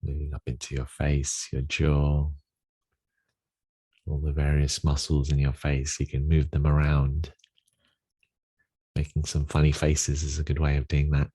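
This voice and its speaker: A man with a soft, husky voice guides a meditation, giving gentle instructions with long pauses. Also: extremely low pitch, monotone.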